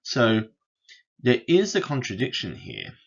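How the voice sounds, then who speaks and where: Man, lecture voice-over, husky, lowish pitch, muffled lo-fi sound. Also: wildly swooping pitch. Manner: unhurried, with frequent fillers and restarts. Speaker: philosophy lecturer. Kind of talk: explaining logic over slides.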